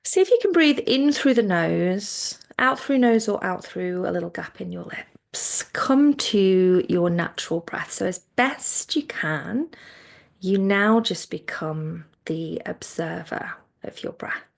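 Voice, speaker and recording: A woman teaching guided relaxation, speaking in a soothing, gentle way with phrases that trail off softly. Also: lowish voice, animated, takes it slowly, gravelly. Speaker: yoga nidra relaxation teacher.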